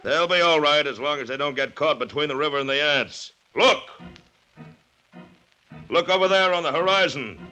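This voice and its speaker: Arrogant defiant planter, sharp forceful voice, pompous, theatrical, 1940s radio drama, old recording with music underneath. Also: male voice, fairly high pitch, moderate pace, long pauses, poor audio. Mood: confident, excited. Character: arrogant, defiant male plantation owner, coolly confident of his intellect.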